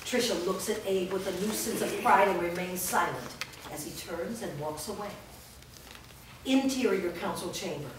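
A woman's voice: fairly low pitch, noisy background. A woman narrating stage directions steadily at a staged script reading. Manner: steady, descriptive. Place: large studio room. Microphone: far camera mic. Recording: echoey room from far off.

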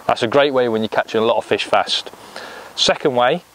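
An English man in his thirties talks through fishing tips step by step in a practical, explanatory way. His voice sits in a middle pitch. He is recorded outdoors by a lake on a clip-on mic, with a faint hum of noise behind him. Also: a little fast, a bit bright, poor audio. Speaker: angling coach. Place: lakeside bank outdoors.

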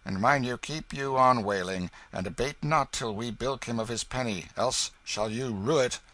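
A man's voice: mid-range voice, normal speed, pushed and bright, pitched above the performer's own voice, close to an everyday voice. A man voicing a wily, bullying beggar-thief in a storybook, blustering and cajoling in archaic rogue's speech. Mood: wailing, pleading.

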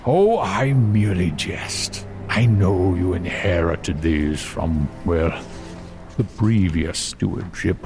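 An actor playing a bookish, courteous, gently amused male scholar in a fantasy audio drama, with a deliberate, musing way of talking full of hums. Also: low-pitched, lively intonation, music in the background, full character voice. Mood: gentle.

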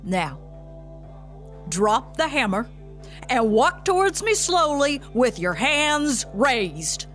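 A woman's voice: high-pitched, very animated, slow pace, pauses often, a bit bright, soft background music, heavily acted. An actress playing a tough female police chief in an audio drama, sarcastic, folksy and authoritative. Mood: stern. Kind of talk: scripted audio drama acting.

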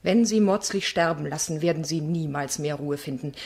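A woman's voice: sits low, normal speed, gravelly, a little mellow, breathy edge, no echo, full character voice. An actress playing a determined, troubled woman lawyer in a German radio crime drama, earnest, insistent and articulate. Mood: urgent, accusing.

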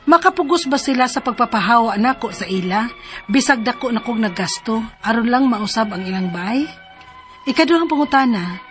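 A woman's voice: fairly high pitch, natural voice. A woman playing a wronged, long-suffering young mother in an emotional radio drama, with a Cebuano accent. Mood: aggrieved. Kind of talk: radio drama acting and first-person narration.

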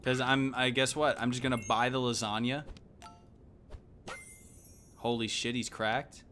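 A man, giving casual, slangy, animated live game commentary. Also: medium pitch, unhurried, a little hiss or hum. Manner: animated, exclaiming, thinking aloud. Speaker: game streamer. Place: indoor room at home.

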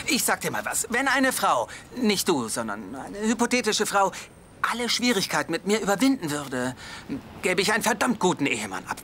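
A rambling, self-mocking young romantic in a sitcom dub. His pitch swings widely as he talks himself into awkward corners. A faint hiss sits under the voice. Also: bright, forceful tone, heavily acted. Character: nervous lovestruck young man, rambling. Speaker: young single man in a sitcom.

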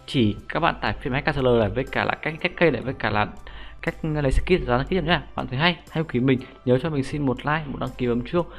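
Young man with a creaky voice, gaming tutorial. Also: medium pitch, quick pace. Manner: informal, excitable slang, repeated sentence-end particles. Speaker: gaming YouTuber. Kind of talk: casual screen-recorded game script tutorial. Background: music.

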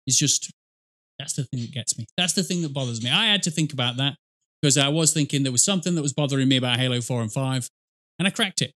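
A man's voice: mid-range voice, quick pace, pushed and bright. A man in his thirties giving casual, rambling commentary as he reacts to a game, often correcting himself and swearing. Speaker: reaction video host. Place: small indoor room at a desk with headset.

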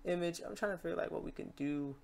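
A man hosting a software tutorial in a casual, chatty way, talking through each step aloud. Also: a little high, fast talker, gravelly. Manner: informal, chatty, talks through steps aloud. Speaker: tutorial host.

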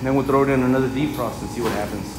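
A man's voice: medium pitch, racing. A man giving a casual, informal hands-on work commentary as a technician, speaking to his viewers while he works. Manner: informal, addresses viewers as guys. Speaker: refrigeration technician, vlog host. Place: on site at work, inside walk-in freezer and outdoors at condensing unit. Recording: loud machinery and ambient noise, handheld camera.

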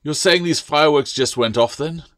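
A man's voice: a little high, normal speed, fairly bright tone, pitched above the performer's own voice, lightly acted. A man voicing a stern, pompous older schoolmaster with a snapping, sarcastic manner, in an audiobook fantasy tale. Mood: stern, scornful.

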